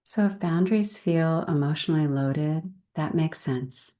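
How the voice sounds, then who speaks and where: Woman, meditation guide, low pitch, soft mellow tone, gentle measured delivery, long pauses.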